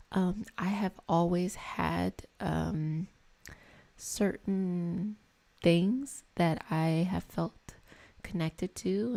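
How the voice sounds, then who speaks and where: A woman hosting an ASMR reiki healing session, talking in a soft, soothing, meandering and conversational way. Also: mid-range voice, gravelly. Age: adult over 25. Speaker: reiki practitioner and session host. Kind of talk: ASMR guided healing session with casual chat.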